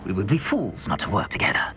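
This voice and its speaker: An actor playing a menacing, condescending male wizard villain in a video game, speaking in slow, whispered threats. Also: deep voice, huge pitch swings. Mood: persuasive. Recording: game audio with music.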